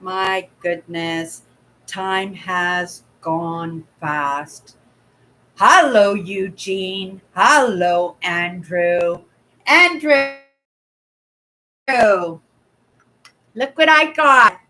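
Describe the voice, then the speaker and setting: A Canadian woman in her sixties talks slowly and at length to her livestream viewers, wandering from one story to the next. Her voice is bright and clear. She sits at a webcam in a room at home and greets her chatters as she goes. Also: medium pitch. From Vancouver, Canada. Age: 60s. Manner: chatty, rambling, reads out comments. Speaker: livestream host. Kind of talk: casual livestream chat. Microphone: webcam at desk.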